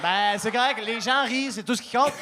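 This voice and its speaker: A man's voice: extremely high pitch, a little fast. A man with a Québécois accent judging a comedy contest, teasing kindly and laughing while fumbling for words. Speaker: panel judge. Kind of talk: teasing panel banter. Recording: live show with loud crowd noise.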